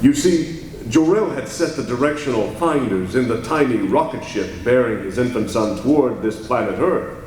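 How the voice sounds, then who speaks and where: A man playing an earnest, grave newspaper reporter telling a secret story with deliberate drama in an old-time radio serial. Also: medium pitch, lively intonation, a little mellow, lightly acted. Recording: echoey, distant mic with faint noise.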